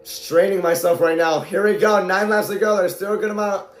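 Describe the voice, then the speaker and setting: Man, fast excited play-by-play, shouting, strained hoarse voice, high pitch, roomy echo. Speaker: amateur race commentator. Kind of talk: excited live play-by-play commentary.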